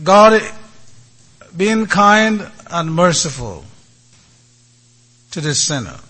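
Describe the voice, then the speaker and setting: A man giving a sermon as a priest, speaking in short, deliberate phrases with many pauses. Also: high voice, very animated, sharp tone.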